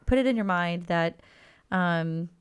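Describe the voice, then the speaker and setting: A woman's voice: fairly low pitch, unhurried, clean tone. A woman therapist on a chat show, speaking earnestly and emphatically about her clients. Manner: earnest, emphatic, agreeing often. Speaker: therapist and guest. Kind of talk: casual chat, explaining her work.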